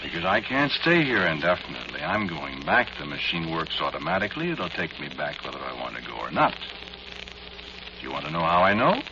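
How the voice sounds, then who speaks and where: A man acting a doomed inventor in an old radio horror drama, speaking to the listener in an intimate, pleading, halting way. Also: extremely low pitch, big pitch swings, noisy background, exaggerated voice. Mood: brooding, confiding. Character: doomed male inventor of a time machine, haunted, pleading. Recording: muffled old radio recording with music.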